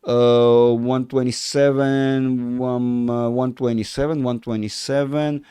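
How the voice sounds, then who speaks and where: A man's voice: mid-range voice, flat delivery, takes it slowly, mellow, smooth, no room sound, studio quality. Rambling effusive man hosting a livestream. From Israel. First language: Russian. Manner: rambling, self-correcting, effusive. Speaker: market livestream host. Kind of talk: casual livestream chat.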